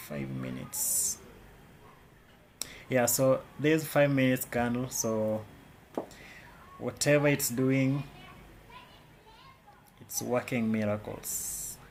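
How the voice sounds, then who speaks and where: A man's voice: mid-range voice, creaky, fairly bright tone, a little hiss or hum. A man with a Kenyan accent giving casual live commentary on his trades, often repeating words and phrases. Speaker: day trader.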